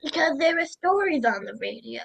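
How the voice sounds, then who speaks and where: A young woman in an amateur radio play, playing a gruff but playful old grandfather. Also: high voice, sing-song, brisk, fairly bright tone, full character voice. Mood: patient, nostalgic. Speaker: student radio play performer.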